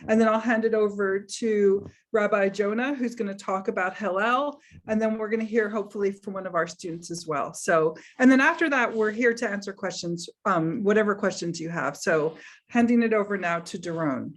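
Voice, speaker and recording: A woman's voice: medium pitch, moderate pace. A woman with a mid-range voice welcomes prospective students on a video call. She sounds upbeat and enthusiastic.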